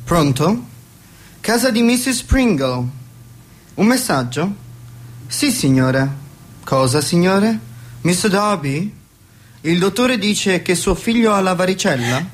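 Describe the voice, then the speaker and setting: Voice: medium pitch, lively intonation, takes it slowly, long pauses. An actor playing a deferential, dryly wry household servant in a radio play, speaking formally.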